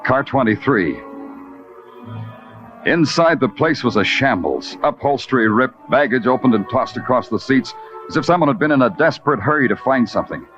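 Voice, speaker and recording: An actor playing a hard-boiled New York police detective in a radio noir, dry and laconic, with moody narration. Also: male voice, medium pitch, expressive, moderate pace, fairly bright tone, faint music behind, natural voice. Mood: grim, businesslike. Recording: muffled old radio recording.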